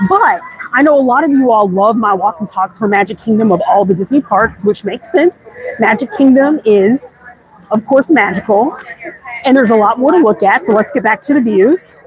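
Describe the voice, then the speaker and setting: A woman's voice: fairly high pitch, animated, normal speed, poor audio. An American woman hosting a casual, chatty walk-and-talk livestream, conversational and speaking directly to her viewers. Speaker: livestream host. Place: outdoors walking through a busy theme park. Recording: outdoor ambient noise. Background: busy theme park ambience.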